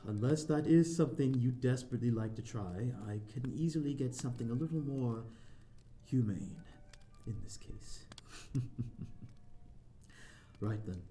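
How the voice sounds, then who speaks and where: A man's voice: medium pitch, moderate pace, long pauses, warm tone, lightly acted. A man playing a courteous, lonely vampire host in an ASMR audio roleplay, speaking in a formal, flowery, slightly flustered and hesitant way. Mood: sheepish, hospitable. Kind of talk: ASMR audio roleplay, scripted character acting.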